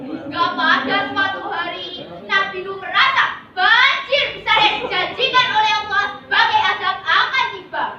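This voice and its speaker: A woman's voice: very high-pitched, moderate pace, hardly any pauses. A young female contestant performing a memorized religious story in a theatrical, declamatory manner. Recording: echoey noisy hall, muffled, far off.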